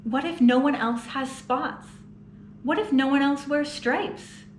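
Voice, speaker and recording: A nervous little girl mouse frets through a list of storybook worries, voiced by a woman reading aloud. Her voice sits high and small, rising on each question. A roomy echo hangs around her. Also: takes it slowly, a little hiss or hum. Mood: anxious.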